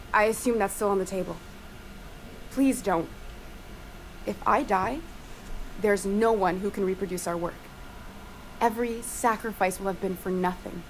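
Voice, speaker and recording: A woman playing an earnest, guarded research scientist in a video game, speaking in a formal, measured and articulate way. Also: medium pitch, slight background noise, lightly acted, low-quality recording. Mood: pleading, earnest. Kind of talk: video game dialogue acting.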